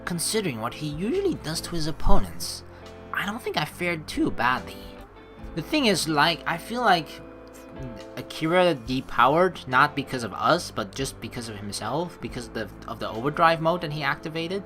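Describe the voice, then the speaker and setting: A man narrates a game in an animated voice with lively swings in pitch. He slips between reading text aloud and loose, sweary, rambling commentary, with game music clearly playing behind him. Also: moderate pace. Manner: profane, rambling, theorizing. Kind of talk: casual gaming commentary mixed with read-aloud narration.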